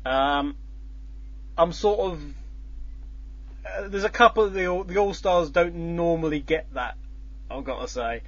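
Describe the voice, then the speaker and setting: A man's voice: fairly high pitch, measured pace, pauses often. Rambling man with a dull lo-fi sound. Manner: rambling, many fillers and restarts, teasing. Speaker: host. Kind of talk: casual chat explaining a contest's scoring.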